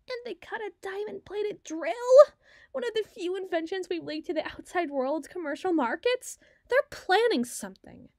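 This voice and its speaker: A woman acting a sardonic, fast-thinking, bossy secret agent in an ASMR roleplay audio drama, wordy and wry. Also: high voice, a little mellow, no room sound, clean studio sound, exaggerated voice. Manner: wry, wordy, teasing, flustered when challenged.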